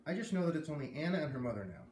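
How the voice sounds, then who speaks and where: A man's voice: a little high, sing-song, rapid-fire, darkish tone, slight background noise. Guy reading the part of a wounded, defensive school girl in a storybook, plaintive, echoey, off the mic a bit. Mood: confiding. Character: hurt, defensive eleven-year-old schoolgirl, confiding. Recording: roomy echo, distant microphone.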